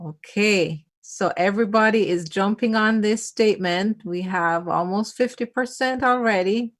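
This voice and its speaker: A woman's voice: medium pitch, unhurried. A woman moderating a webinar conversationally, reading out statements and responses for the guests.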